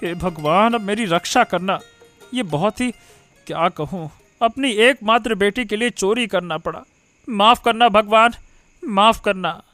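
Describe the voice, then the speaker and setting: A man's voice: high voice, a little slow, fairly bright tone, no room sound, soft background music. A man playing a doting, guilt-ridden poor village father in a cartoon moral story, tender and fretful. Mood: guilty, worried. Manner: tender, fretful, musing aloud.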